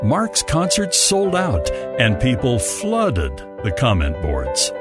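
A man's voice: medium pitch, huge pitch swings, takes it slowly, over loud music. A man narrating a scripted story as its storyteller.

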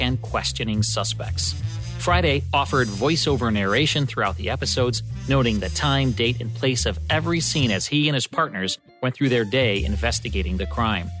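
Male American radio host, mid-pitched, scripted presenter read over light music. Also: a bit bright. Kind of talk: scripted promotional and introductory narration.

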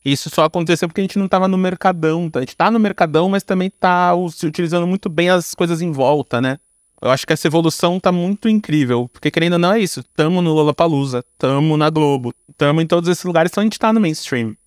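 Brazilian man with a slightly high voice. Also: fast talker, dry recording, studio quality. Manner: informal, slangy, with frequent tag questions. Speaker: guest, screenwriter and filmmaker. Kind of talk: casual conversational interview answers.

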